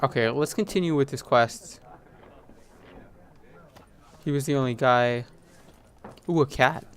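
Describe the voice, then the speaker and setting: A man's voice: medium pitch, a little slow. A man giving casual commentary as he plays a video game, at times reading on-screen text aloud. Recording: game music behind the voice.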